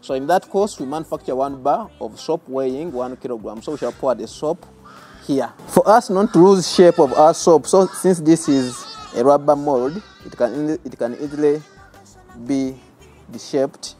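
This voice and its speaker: A man's voice: a little high, moderate pace, warm tone, faint music behind. A man in his thirties with a Ugandan accent, teaching a soap-making safety class outdoors and explaining each step. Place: outdoors by a metal gate.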